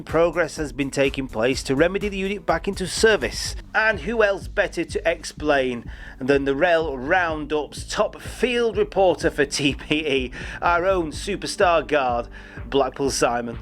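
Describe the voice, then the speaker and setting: A man's voice: on the high side, expressive, normal speed, soft background music. A man hosting a railway news roundup in a chatty, jokey way, sometimes stumbling over names. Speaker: host of a railway news show. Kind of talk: upbeat news roundup presenting.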